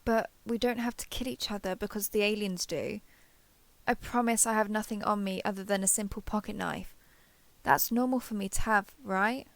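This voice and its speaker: A woman's voice: mid-range voice, fairly even intonation, moderate pace, creaky, a little hiss or hum, lightly acted. A woman playing a lonely, clingy alien posing as a human survivor in an intimate audio roleplay, speaking hesitantly and coaxingly to the listener. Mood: wary, placating. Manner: hesitant, coaxing, softly over-eager to please.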